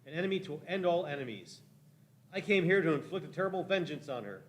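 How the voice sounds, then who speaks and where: Man acting a wheedling, high-voiced shifty time traveler. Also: animated, fast talker, close to an everyday voice. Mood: nervous, eager. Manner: wheedling, evasive, blustering. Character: meddling monk, a shifty, ingratiating, cowardly male time traveler. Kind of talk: live radio-play acting.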